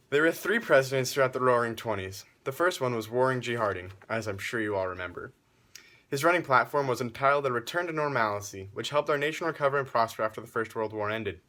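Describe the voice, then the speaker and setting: Male news presenter, medium pitch, formal scripted period report, speaks straight to the viewer. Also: fairly bright tone.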